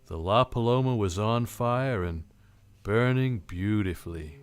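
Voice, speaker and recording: A wry, world-weary private detective from a vintage radio noir, acted by a man. His voice sits fairly low, and his delivery is terse, dry and deadpan. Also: measured pace, clean tone, lightly acted. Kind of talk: old-time radio drama, first-person noir narration.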